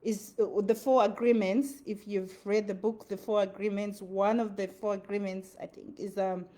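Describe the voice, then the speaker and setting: A woman's voice: medium pitch, flat delivery, normal speed, dark tone. A woman with a Zimbabwean accent giving an earnest motivational talk, repeating her phrases for emphasis. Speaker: motivational speaker. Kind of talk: motivational monologue.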